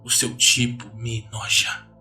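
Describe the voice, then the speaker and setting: A man's voice: on the low side, flat delivery, slow pace, sharp tone, far from the mic, faint music behind, heavily acted. A man voicing a contemptuous, jaded man in his thirties who mocks newcomers with weary scorn. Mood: sneering contempt. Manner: sneering, mocking, world-weary.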